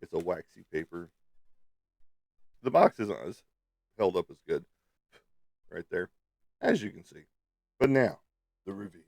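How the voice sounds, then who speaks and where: A low-pitched man hosts a casual livestream in a close, dry sound. He is slightly stuffed up and talks to viewers in a playful, teasing way. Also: expressive, measured pace, gravelly, long pauses, darkish tone, no echo. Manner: playful, teasing, peppered with sung sound effects. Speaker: livestream host.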